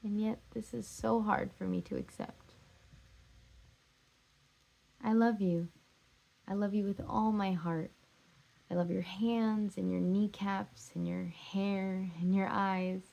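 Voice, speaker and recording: A woman's voice: medium pitch, steady tone, measured pace, vocal fry, darkish tone, lo-fi sound. Woman acting a heartbroken, pleading jilted lover. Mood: tender, exasperated. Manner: emphatic, repetitive, pleading. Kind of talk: stage drama scene and monologue.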